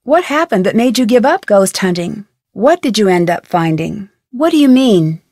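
A woman's voice: mid-range voice, sing-song, moderate pace, a little mellow, no echo, studio quality. An actress playing a curious, polite and determined young female detective in scripted, conversational video game dialogue. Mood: inquisitive.

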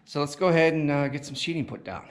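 A man in his thirties talking casually to his viewers while building in a large barn, with relaxed, plainspoken speech and frequent fillers. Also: fairly high pitch, fast talker. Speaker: homestead vlogger. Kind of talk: casual chat while working. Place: large metal horse barn with a loft. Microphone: action camera on body and selfie at arm's length. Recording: noisy barn recording.

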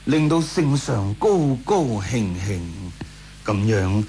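A male actor with a Hong Kong Cantonese accent playing a sly, unhurried imperial minister in a radio drama. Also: on the low side, lively intonation, warm tone. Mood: smug, scheming. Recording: radio drama with faint music.

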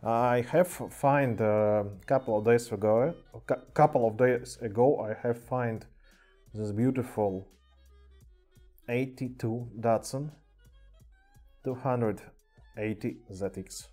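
A man with a Russian accent casually chatting on a live stream in halting English, with many fillers. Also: medium pitch, mellow, faint music behind. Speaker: streamer showing toy car collection. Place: indoor room with cluttered table. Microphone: webcam mic.

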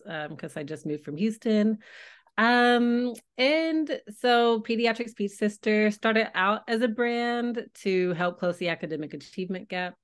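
An American woman telling her story casually as a podcast interview guest. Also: mid-range voice. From Tulsa, Oklahoma, United States. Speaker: podcast guest, speech-language pathologist.

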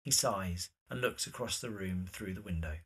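Narrator reading out a radio play script, measured, really husky voice. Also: sits low, fairly bright tone. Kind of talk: scripted narration of stage directions.

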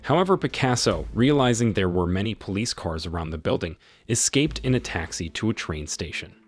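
Husky low-voiced man narrating a recap. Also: expressive, a little fast. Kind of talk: scripted narration.

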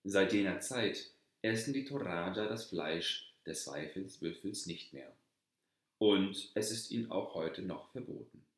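A man reading a fairy tale aloud in calm, measured storybook narration that sinks lower in sad passages. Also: reverberant.

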